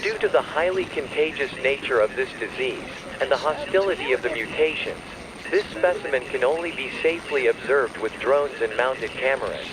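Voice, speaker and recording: Loud aircraft-like droning noise surrounds a man's mid-pitched voice. He reads a scripted emergency warning as a formal broadcast announcer. His delivery is measured and official. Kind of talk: scripted emergency alert narration.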